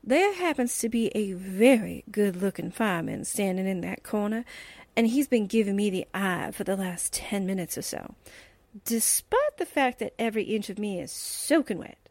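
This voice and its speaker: A woman's voice: medium pitch, lively intonation, moderate pace, a little mellow, dry recording. A woman playing a breezy, flirty 1940s newspaperwoman sidekick in a radio drama, with slangy, playful wisecracks. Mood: flirty, teasing. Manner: folksy, slangy, playful exclamations.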